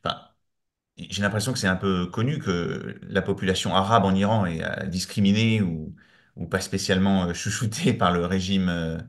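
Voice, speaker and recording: A man's voice: low voice, fairly even intonation, moderate pace. A man interviewing a guest, asking hesitant questions. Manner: hesitant questions, brief acknowledgments.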